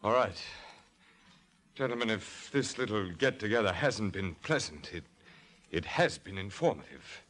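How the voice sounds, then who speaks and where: Casting: a cool radio-drama hero, lowish voice, mock-polite, with lively swings of pitch. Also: male voice. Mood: wry, cool. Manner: slow, mock-courteous. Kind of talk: 1940s radio drama acting.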